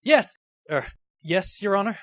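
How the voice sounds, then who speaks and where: A man's voice: sits high, expressive, measured pace, a bit bright. A man playing an earnest, nervous young rookie defense lawyer in a courtroom game fan dub. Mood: nervous, unsure. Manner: hesitant stammer, bursts into bold objections. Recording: music under the voice.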